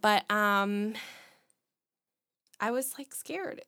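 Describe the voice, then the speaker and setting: A young woman in her twenties chats casually on a podcast, circling her thoughts with restarts and fillers. Her voice sits at an ordinary middle pitch. The recording is dry and close. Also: sharp tone, no room sound. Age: 20s. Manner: rambling, halting, many restarts and fillers. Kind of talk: casual chat.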